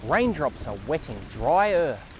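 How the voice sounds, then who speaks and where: An Australian man presenting and reading a story for young children in a playful, expressive manner. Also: a little high, a little slow, background noise. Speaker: children's storyteller and show host. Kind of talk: children's read-aloud and playful presenting to a puppet-like companion. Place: outdoors in a forest.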